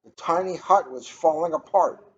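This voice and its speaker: A man's voice: a little high, moderate pace, fairly bright tone. A middle-aged man, a police officer, reading a storybook aloud and chatting casually between passages. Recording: muffled, noisy ambient room recording.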